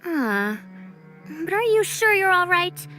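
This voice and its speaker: A woman's voice: very high-pitched, big pitch swings, takes it slowly, exaggerated voice. An actress voicing a youthful female scholar with old-fashioned elder airs and a formal, condescending manner. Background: soft game music.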